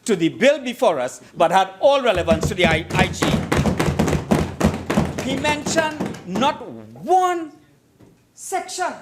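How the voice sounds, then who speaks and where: A man's voice: extremely high pitch, big pitch swings, takes it slowly, pauses often, very noisy. Emphatic Trinidadian man debating in parliament. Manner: emphatic, oratorical, repeats phrases for effect. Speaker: opposition member of parliament. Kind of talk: formal parliamentary debate speech. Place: parliament chamber.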